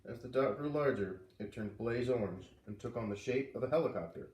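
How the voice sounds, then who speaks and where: A man's voice: a little fast, highs cut off. A man reading a children's chapter book aloud at home, playfully voicing the characters. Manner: lively, voices characters with big pitch jumps. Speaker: reader reading aloud to kids. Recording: roomy, distant from the mic.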